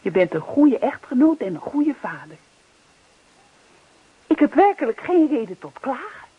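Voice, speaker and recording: Husky, devoted, coaxing wife. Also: female voice, sits high, very animated, unhurried, heavily acted. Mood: apologetic. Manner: earnest, coaxing. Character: devoted, affectionate wife Annie, apologetic and coaxing. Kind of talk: Dutch radio play acting. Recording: muffled old radio recording.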